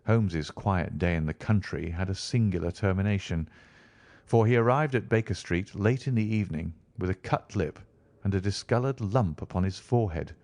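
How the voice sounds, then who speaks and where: A man narrates a classic story in a fairly low voice. His reading is measured and formal, and the sound is dry, with very little room. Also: a little mellow, no echo. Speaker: audiobook narrator. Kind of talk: scripted literary narration.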